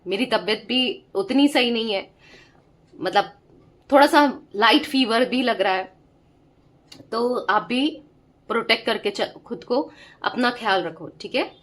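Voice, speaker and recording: High-voiced woman reading tarot with a sore throat. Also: measured pace, pauses often, a bit bright. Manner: emphatic, repetitive, talks straight to the viewer with frequent "theek hai?". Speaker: tarot reader. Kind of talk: direct-to-camera tarot reading.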